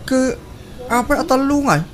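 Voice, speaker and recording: An actress plays a reluctant Filipino sister in a radio drama. She grumbles in a rather high voice, with noise behind the recording. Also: expressive, a little slow. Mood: reluctant, grumbling. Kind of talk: Ilocano radio drama acting.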